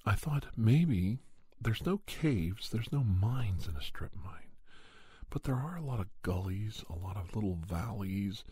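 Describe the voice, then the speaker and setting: A soft-spoken man telling a first-person story in an ASMR style, often dropping toward a whisper. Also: fairly low pitch, animated, measured pace, breathy edge, no echo. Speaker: storyteller and podcast host.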